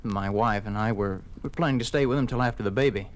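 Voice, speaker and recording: An actor playing an earnest young husband in a 1950s radio drama, polite, sincere and a little hesitant. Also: male voice, lowish voice, fast talker, close to an everyday voice. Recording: faintly noisy old radio recording.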